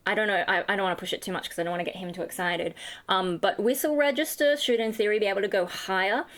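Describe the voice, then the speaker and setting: A young woman with a high voice, rambling tiredly in a casual vlog chat, full of fillers and self-deprecating asides. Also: fast talker, a bit bright. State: tired, running on fumes. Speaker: vlogger and singer.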